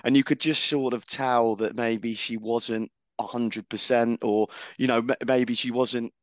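A man with a croaky voice, co-hosting a podcast in loose, casual chat. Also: mid-range voice, fairly even intonation, unhurried, no room sound. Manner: chatty, loose, with many restarts. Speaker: co-host.

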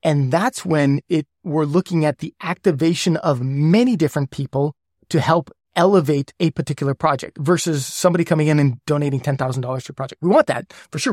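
Higher-voiced man explaining with examples. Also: fast, a little mellow, no room sound, studio quality. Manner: walks through worked examples, checks in with "right?". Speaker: guest, governance lead. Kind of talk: explanatory interview talk.

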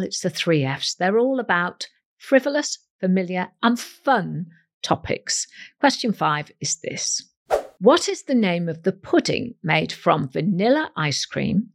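A woman hosting a quiz in a scripted, playful style, speaking directly to listeners with a husky voice. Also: mid-range voice. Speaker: quiz show host.

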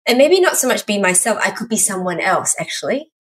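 A woman from Malaysia and Singapore, a podcast guest telling her life story in an animated way, with laughs and restarts. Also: medium pitch, fairly quick, husky. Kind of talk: casual interview storytelling.

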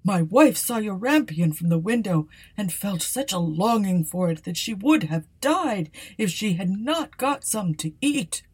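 A woman's voice: medium pitch, lively intonation, measured pace, hardly any pauses, a little mellow, breathy edge, dry recording. Woman voicing a pleading frightened husband.

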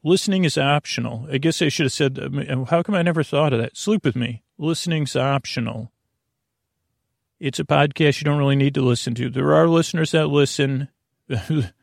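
Man, podcast host, heavy vocal fry, dry close sound, rambling sleep monologue, halting, circles back on himself. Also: mid-range voice, dry recording. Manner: meandering, halting, keeps circling back and losing his thread. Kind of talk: rambling bedtime monologue to help listeners sleep.

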